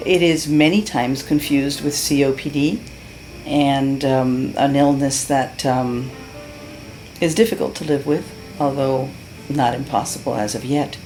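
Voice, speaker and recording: A woman's voice: rumbling low, fairly even intonation, measured pace, darkish tone, soft background music, rough recording. A middle-aged woman from Cuba giving an earnest, heartfelt testimonial as a patient, with many fillers and restarts. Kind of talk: unscripted testimonial.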